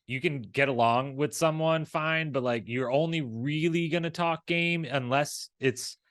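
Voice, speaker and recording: A man's voice: a little high, measured pace, a bit bright, dry recording. Casual male co-host, dry close sound. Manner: rambling, acts out imagined dialogue. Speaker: recap show co-host. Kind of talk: casual, conversational episode commentary.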